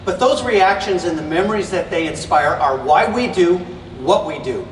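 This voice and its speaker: A middle-aged man with a fairly high voice delivers an earnest, enthusiastic stage presentation. His voice booms through a big arena, echoing around the hall. It was recorded from far back in the audience, with a faint hum of the crowd behind. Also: animated, normal speed. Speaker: presenter at an expo panel. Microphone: stage mic recorded from the audience.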